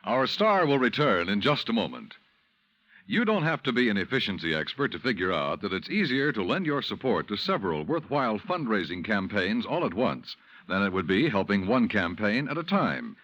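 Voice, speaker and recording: Male American vintage radio announcer, polished and lively, muffled old recording with music. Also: fairly low pitch, normal speed, dry recording. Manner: polished, formal. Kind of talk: 1950s network radio announcing.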